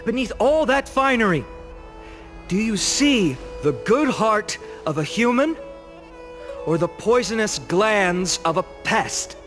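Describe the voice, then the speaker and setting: An actor playing an eloquent young guardian demigod, giving a solemn, theatrical speech in a video game. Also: male voice, high voice, animated, a bit bright, soft background music, full character voice. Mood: scornful accusation. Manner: eloquent, solemn, deliberate rhetorical delivery. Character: impassioned young male orator, guardian demigod of a holy city. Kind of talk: dramatic theatrical oration in video game voice acting.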